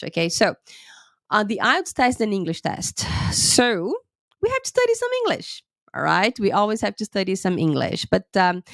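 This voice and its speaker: A woman teacher talks through a live-streamed lesson from close to the mic, in a dry room with little echo. She has a slight cold and a sore throat, so she talks a little slowly. She keeps checking in with her viewers. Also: on the low side, vocal fry. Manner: chatty, lots of "okay?" checks with viewers. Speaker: English teacher and IELTS tutor.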